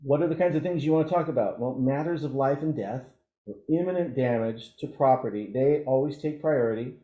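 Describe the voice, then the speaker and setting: A man giving an informal training presentation as an instructor, explaining things haltingly and thinking aloud through examples. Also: medium pitch, warm tone. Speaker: emergency radio trainer. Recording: dull, roomy, echoey audio.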